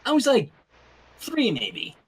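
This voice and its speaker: A man's voice: extremely high pitch, huge pitch swings, slow pace, husky, pitched above the performer's own voice, full character voice. A man voicing a brash, cocky young woman with a casual, confident and slangy way of talking in a story reading.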